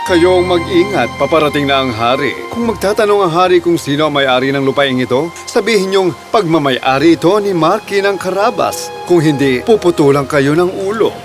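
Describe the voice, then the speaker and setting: A man plays a bold, clever cartoon cat with a high-set, swooping voice. He is persuasive and theatrical, a loyal servant in a fairy tale, with music playing underneath. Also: expressive, moderate pace, hardly any pauses, lightly acted. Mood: stern warning. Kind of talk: animated fairy tale voice acting.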